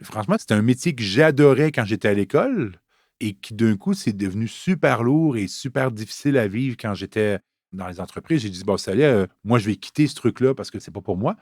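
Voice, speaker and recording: A man in his fifties speaks with a Canadian French accent, his voice sitting a little low. He answers interview questions in a relaxed, storytelling way, with the odd "euh" along the way. The sound is dry and close. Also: a little fast, dry recording. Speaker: Scrum trainer.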